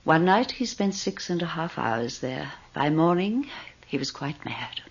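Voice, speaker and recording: A woman playing an elderly, wealthy, self-serving widow in a radio drama, speaking in a genteel, deliberate, insinuating manner with a husky voice. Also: low-pitched, very animated, heavily acted. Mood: eerie, grave. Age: older. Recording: dull lo-fi radio recording.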